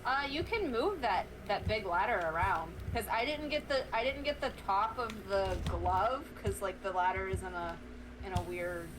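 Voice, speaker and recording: A young woman guest streamer chatting casually while gaming, animated and sarcastic. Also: medium pitch, background noise. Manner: animated, sarcastic, quick reactions.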